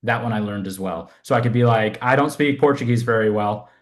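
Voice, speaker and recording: A man's voice: lowish voice, fairly quick. Rambling young man from Southern California. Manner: rambling, self-deprecating, many fillers. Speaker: podcast guest, fitness coach. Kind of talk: casual interview chat.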